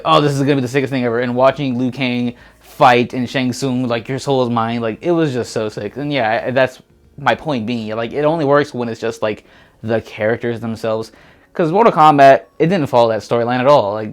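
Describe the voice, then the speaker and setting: A man co-hosting a casual movie chat, talking in a laid-back, slangy, rambling way. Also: medium pitch. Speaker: co-host. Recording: music playing behind. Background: background music.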